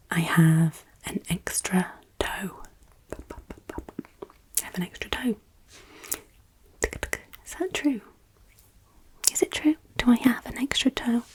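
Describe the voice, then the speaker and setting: An English woman from Yorkshire whispering softly in an ASMR Q&A, teasingly repeating her questions. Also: mid-range voice. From England, Yorkshire. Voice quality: soft unvoiced whisper. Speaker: ASMR creator.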